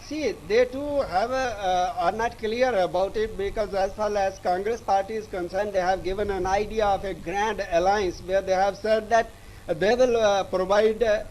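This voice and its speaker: A man with an Indian English accent giving a live news report in a halting, repetitive way. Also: high-pitched, steady tone, darkish tone, slight background noise, rough recording. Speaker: news correspondent.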